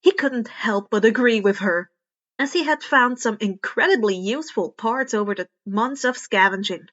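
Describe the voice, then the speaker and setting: Female fiction narrator, high voice, expressive scripted read, slightly dull lo-fi recording. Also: moderate pace. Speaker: podfic narrator reading fan fiction.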